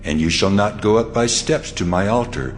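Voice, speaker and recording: Man acting as almighty God, very low pitch, stern, solemn, authoritative, measured, dramatized scripture, clear music behind. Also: lightly acted. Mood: solemn, commanding. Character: God, stern commanding almighty male deity.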